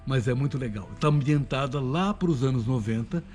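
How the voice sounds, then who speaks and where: A man's voice: medium pitch, normal speed, a little mellow. A Brazilian man, a radio drama voice actor, talking casually and enthusiastically in a promotional interview. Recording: music behind the voice.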